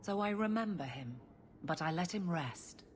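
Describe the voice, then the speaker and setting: A woman's voice: on the low side, lively intonation, normal speed, a little hiss or hum, natural voice. A woman voicing a steadfast, blunt guardswoman in a video game, speaking in an earnest, plain, firm way. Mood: somber, resolute.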